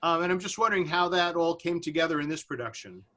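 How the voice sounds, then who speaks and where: A man's voice: a little high, fast talker, pushed and bright. A man on a video call chatting casually as a panelist, thinking aloud and rambling as he works toward his question. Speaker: seminar panelist asking a question.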